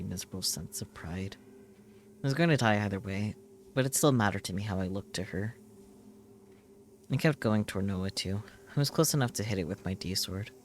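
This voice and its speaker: A man reading visual novel text aloud as a streamer, in dramatic, intense narration with measured short phrases. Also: lowish voice, slight background noise. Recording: music behind the voice. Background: loud game music.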